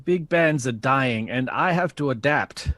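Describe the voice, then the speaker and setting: A man's voice: medium pitch, expressive, unhurried, a bit bright, no room sound. A man acting in an audio drama as a proud, pleading 1960s big band leader, earnest and impassioned in manner.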